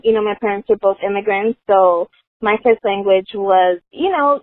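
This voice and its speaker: A woman's voice: fairly high pitch, no room sound. A woman from the Los Angeles area, a guest who tells her story in a chatty, casual way and often says "you know". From Los Angeles area, United States. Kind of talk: casual conversation. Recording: dry, phone-like narrow sound.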